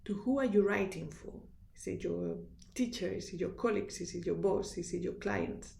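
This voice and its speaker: A woman with a strong Spanish accent gives a university lecture in an earnest, instructive way. Her voice has a gravelly fry and a mellow, relaxed tone. The room around her is echoey. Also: lowish voice, moderate pace. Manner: earnest, instructive, with restarts and fillers. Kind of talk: recorded lecture.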